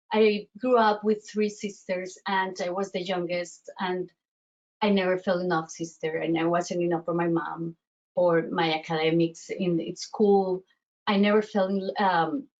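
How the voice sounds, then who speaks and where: Colombian-accented woman coach, halting delivery. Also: fairly low pitch, lo-fi sound. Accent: Colombian Spanish. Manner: halting, many fillers and restarts. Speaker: self-worth coach. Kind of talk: webinar presentation.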